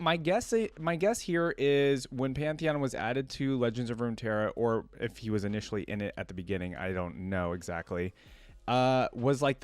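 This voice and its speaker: A man's voice: on the low side, dry recording. A man hosting a reaction video, commenting casually on game voice lines and musing aloud with frequent questions.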